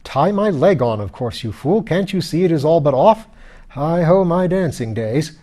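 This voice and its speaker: A man voicing a pompous, irritable, hard-drinking skeleton lord in an audiobook, rough and blustering in old-fashioned aristocratic speech. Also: on the high side, lively intonation, normal speed, mellow, voice pushed up. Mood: peevish. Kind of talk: audiobook character voice.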